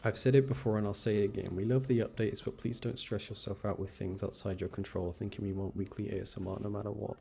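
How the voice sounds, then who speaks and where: Male game streamer, lowish mellow voice, chatty and mildly exasperated, faint music underneath. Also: steady tone, quick pace, gravelly, hardly any pauses. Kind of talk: casual live game commentary, thinking aloud.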